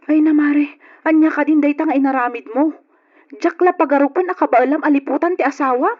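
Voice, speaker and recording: An actress with an Ilocano accent playing a concerned, scolding friend in an old radio drama. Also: female voice, sits high, fairly even intonation, brisk, close to an everyday voice. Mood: shocked scolding. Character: scolding, concerned female friend giving frank advice. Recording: dull lo-fi old radio recording.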